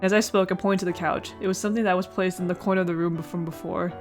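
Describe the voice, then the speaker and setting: Woman doing a casual visual novel playthrough, jokey asides, trips on words, soft music under it. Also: fairly low pitch, fairly even intonation, fast talker. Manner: playful, stumbles over words and comments on them. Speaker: let's play host.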